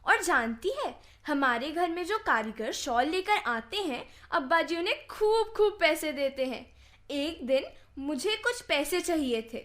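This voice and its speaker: A woman's voice: high-pitched, fairly bright tone. A woman playing a chatty, naive, boastful little girl in a radio play.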